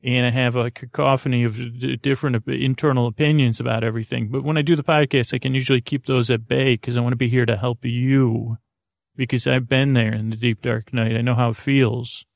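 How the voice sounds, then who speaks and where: A man's voice: mid-range voice, level tone, brisk, creaky, dry recording, studio quality. A man hosting a sleep podcast, rambling through a meandering bedtime monologue with many restarts and self-interruptions.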